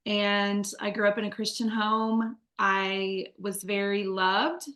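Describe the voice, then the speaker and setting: A woman's voice: medium pitch, little pitch movement, takes it slowly, a bit bright, echoey room. A woman in her forties from the Midwest, sharing her life story as an interview guest in a candid, chatty way with many fillers and restarts. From Midwest United States. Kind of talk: conversational testimony.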